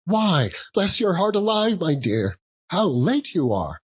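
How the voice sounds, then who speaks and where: Man as a fussing, high-voiced motherly woman. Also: very animated, slow pace, husky, exaggerated voice. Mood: affectionate scolding. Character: warm, fussing working-class mother, middle-aged woman.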